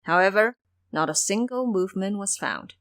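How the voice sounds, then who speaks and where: A woman's voice: medium pitch, animated, moderate pace, a bit bright. A woman narrating a scripted fantasy adventure as a storyteller.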